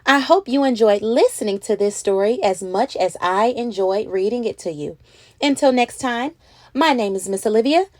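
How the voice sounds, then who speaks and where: Lively, high-pitched woman reading a storybook. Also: normal speed, dry recording, clean studio sound. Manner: animated, acts out words and sound effects. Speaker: children's read-aloud host. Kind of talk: expressive children's storybook narration.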